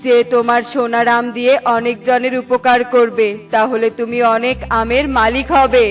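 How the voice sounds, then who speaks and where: A benevolent wandering mystic acted by a man with a very high, evenly pitched voice. He declaims in children's cartoon style, with faint music behind. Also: monotone, moderate pace. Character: wandering, grateful male mystic with magic powers.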